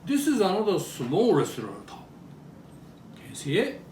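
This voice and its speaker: Male demonstrator, Japanese accent, higher-pitched and lively intonation, frequent questions to audience, roomy distant-mic sound. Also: moderate pace, background noise. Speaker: presenter demonstrating traditional toys. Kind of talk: live show-and-tell demonstration.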